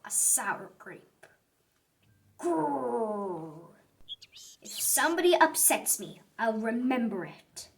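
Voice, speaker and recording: A woman's voice: fairly high pitch, very animated, takes it slowly, gravelly, pauses often, slight background noise, heavily acted. A woman voicing a touchy, grudge-holding sour grape in a dramatic, emphatic read-aloud for children. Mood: grumpy, indignant. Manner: dramatic, emphatic, growly outbursts.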